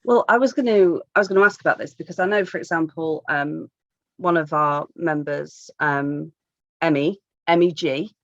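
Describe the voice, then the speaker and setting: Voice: medium pitch, moderate pace, fairly bright tone, no room sound, highs cut off. A podcast co-host asking questions and teasing the guest, laughing a lot. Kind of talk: casual podcast chat.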